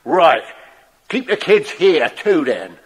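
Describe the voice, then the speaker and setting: A man playing a spiteful, bossy horse thief in a children's audio drama, rough and menacing in manner. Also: fairly high pitch, very animated, slow pace, exaggerated voice, poor audio. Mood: bossy, scheming.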